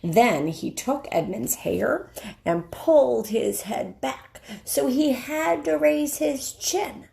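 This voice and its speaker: Woman, middle-aged, teacher-style storybook reader. Slow, animated, deliberate. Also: high-pitched, gravelly. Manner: deliberate, pauses to explain to listeners. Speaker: teacher reading aloud. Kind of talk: expressive storybook read-aloud. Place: indoors, wood-panelled room.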